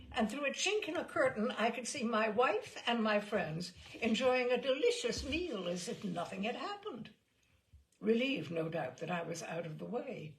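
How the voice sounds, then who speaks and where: A woman reading a literary story aloud dramatically, with expressive, deliberate phrasing. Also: medium pitch, gravelly. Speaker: host and reader of short stories.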